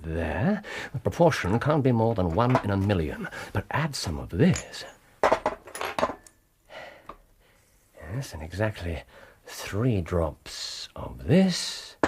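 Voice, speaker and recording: An actor playing a brilliant, exuberant Victorian English scientist detective in a British radio drama. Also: male voice, low-pitched, sing-song, slow pace, very noisy, exaggerated voice. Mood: intent. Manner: exuberant, theatrical, eager.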